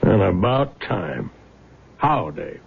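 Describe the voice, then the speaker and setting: Gravelly, folksy older small-town father. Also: low voice, very animated, takes it slowly, phone-quality sound, slight background noise, heavily acted. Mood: probing. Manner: folksy, frank. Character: plain-spoken small-town prosecutor, fond protective old father. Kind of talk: 1970s radio drama acting. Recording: band-limited vintage radio broadcast.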